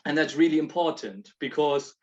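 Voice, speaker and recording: A man presenting his project on a video call, talking in halting bursts with frequent restarts and laughs between points. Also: on the high side. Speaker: project proposer. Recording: dull lo-fi call audio.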